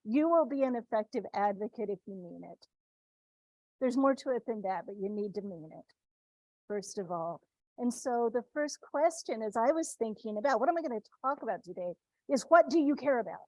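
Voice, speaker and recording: Woman from the Midwest, fairly high voice, talks to students in a chatty, restart-heavy way; dry, close recording. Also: animated, moderate pace, a little mellow, no room sound, poor audio. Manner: conversational, many restarts and tag questions. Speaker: speaker addressing students. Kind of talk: informal talk to students.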